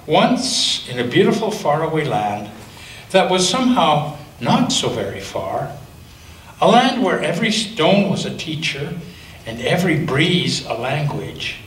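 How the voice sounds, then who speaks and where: A male pastor reading a picture book aloud to children, gently and with slow dramatic pauses. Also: fairly high pitch. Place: church sanctuary. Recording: echoey church from a distance, faint noise.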